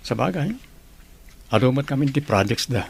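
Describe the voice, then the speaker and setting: A man plays a hardworking male teacher in an audio drama, speaking with an Ilocano accent. He is earnest and patient, in a mid-range voice. There is clear noise behind him. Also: mid-range voice, expressive, normal speed. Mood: concerned. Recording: clearly noisy background.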